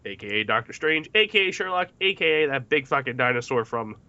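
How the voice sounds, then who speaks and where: A man's voice: medium pitch, expressive, fairly quick, bright, forceful tone, no room sound, dull audio. A man hosting a casual film discussion, partly reading from notes in a jokey, self-mocking way. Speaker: podcast host.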